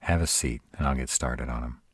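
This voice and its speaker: An extremely low-voiced, husky male were-dragon, acted by a man for a roleplay audio drama. He is protective and caring, with a wry, casual way of talking. The sound is dry and intimate. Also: fairly quick, dry recording. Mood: relaxed, caring. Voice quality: very deep, husky.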